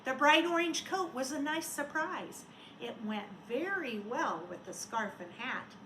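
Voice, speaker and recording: A woman giving an interactive storybook read-aloud, expressive and coaxing, speaking directly to young children. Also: high-pitched, unhurried. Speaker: teacher reading a storybook aloud. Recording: roomy echo, faint background noise.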